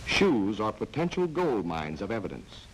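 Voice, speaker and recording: A man playing a sardonic, taunting narrator in a measured, dramatic 1940s spy training film. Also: lowish voice, big pitch swings, low-quality recording. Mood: methodical, wry. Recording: hissy vintage 1940s film soundtrack.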